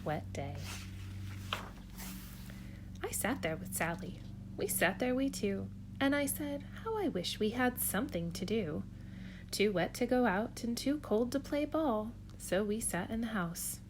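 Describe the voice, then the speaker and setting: A woman preschool teacher reading a picture book aloud at home in an expressive, sing-song storytelling way. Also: fairly high pitch, unhurried, background noise.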